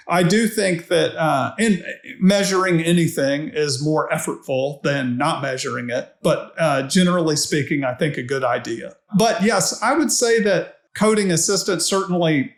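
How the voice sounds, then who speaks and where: Creaky-voiced man in an echoey room. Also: fairly high pitch. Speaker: podcast guest, tech executive. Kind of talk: conversational interview answers. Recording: roomy, echoey sound.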